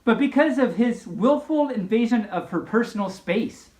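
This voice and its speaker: A woman reading a storybook aloud with expressive, mock-earnest asides. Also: fairly high pitch, normal speed, reverberant. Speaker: storyteller, host of a story time series.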